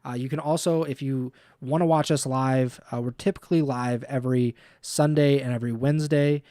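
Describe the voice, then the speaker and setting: A man co-hosting a livestreamed podcast in casual chat, easygoing and filling pauses with uh and um. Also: medium pitch, fairly even intonation, mellow, no echo, studio quality. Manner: easygoing, many uh and um fillers. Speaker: podcast co-host.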